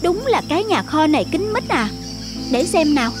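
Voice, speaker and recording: Very high-pitched, eager cartoon rooster. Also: female voice, sing-song, moderate pace, music in the background. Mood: curious. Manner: exclamatory, insistent. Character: eager, stubborn, curious young cartoon rooster. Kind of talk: animated children's story acting.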